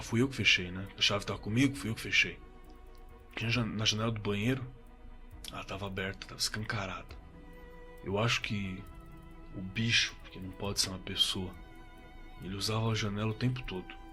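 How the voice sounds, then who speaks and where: A rattled Brazilian survivor in a horror audio drama. His lowish voice is sharp and forceful, and he stumbles and repeats himself in slangy, profane speech. Music plays behind him. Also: fairly even intonation. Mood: uneasy, haunted. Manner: halting, repeats words, colloquial and profane. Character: shaken man recounting a terrifying camping encounter. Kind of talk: first-person horror audio drama testimony. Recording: suspense music under the voice.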